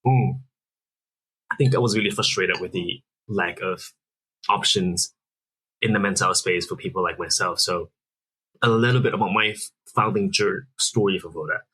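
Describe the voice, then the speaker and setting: Guest, male, 30s, from Singapore; low husky voice, earnest explainer. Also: moderate pace, sharp tone, low-quality recording. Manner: earnest, explanatory, with frequent restarts. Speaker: app founder guest. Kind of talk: conversational interview answers.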